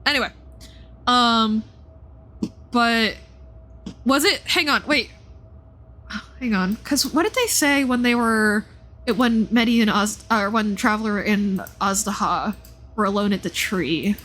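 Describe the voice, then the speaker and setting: A woman's voice: a little high, slow pace, pauses often. A woman livestreaming a game, thinking aloud in a casual, reflective way and often interrupting herself. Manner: animated, reflective, self-interrupting. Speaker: live streamer playing and commenting on a game.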